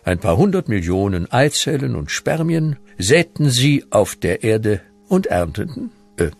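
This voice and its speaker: An actor as a mock-solemn storyteller, narrating a comedy radio play with sly, tongue-in-cheek humour. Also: male voice, lowish voice, very animated, unhurried, vocal fry, soft background music, exaggerated voice. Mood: wry, narrating.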